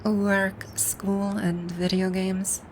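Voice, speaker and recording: A woman's voice: medium pitch, flat delivery, very slow, darkish tone, slight background noise. A woman acting a haughty, bewildered video-game villainess in an ASMR roleplay, with pompous, commanding, old-fashioned phrasing. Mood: dismayed, incredulous.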